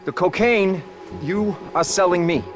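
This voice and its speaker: A man's voice: high-pitched, measured pace, soft background music. An actor playing a nervous undercover male cop who stammers and overdoes his act. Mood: jittery. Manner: jittery, stammering, overeager.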